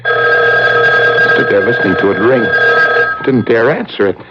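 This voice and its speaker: A man's voice: medium pitch, expressive, unhurried, heavy background noise, natural voice. An actor as a volatile, paranoid American tough guy. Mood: anxious, suspicious. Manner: tough street slang, clipped and urgent. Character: paranoid hard-boiled American man on the run, hot-tempered. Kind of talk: old-time radio drama acting, first-person narration. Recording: dull old radio recording with dramatic music. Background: dramatic music.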